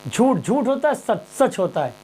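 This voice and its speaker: A man's voice: very high-pitched, sing-song, moderate pace, a little mellow. A man presenting impassioned news commentary straight to viewers, emphatic and rhetorical, repeating phrases and questions.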